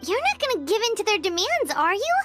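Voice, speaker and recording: A woman voicing a small, curious, excitable childlike companion in a video game. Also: extremely high pitch, fairly quick, soft background music, full character voice. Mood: surprised, eager. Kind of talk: English game voice acting.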